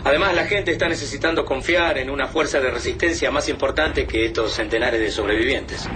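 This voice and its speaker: An actor playing a brisk, authoritative leader of a survivors' refuge in an Argentine radio drama. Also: male voice, mid-range voice, lightly acted. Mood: measured, authoritative. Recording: noisy radio drama sound bed.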